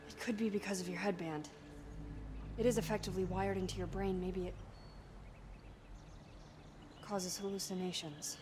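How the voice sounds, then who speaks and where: An actress playing an earnest, hopeful young woman, a tech-savvy survivor in a video game, with a soft voice. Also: medium pitch, steady tone, moderate pace, long pauses, noisy background, close to an everyday voice. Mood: concerned. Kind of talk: video game cutscene acting. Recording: music behind the voice. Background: music.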